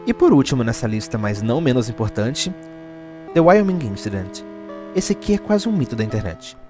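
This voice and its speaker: A man's voice: medium pitch, big pitch swings, normal speed. A man with a Brazilian accent delivering scripted explainer narration in the manner of a video essay. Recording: music bed under the voice. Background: background music.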